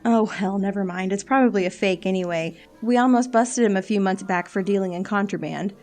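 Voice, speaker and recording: A woman's voice: medium pitch, a little fast, a little mellow, lightly acted. A woman playing a wry, world-weary agent in a scripted audio drama, with a dry, sardonic delivery. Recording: faint music under the voice.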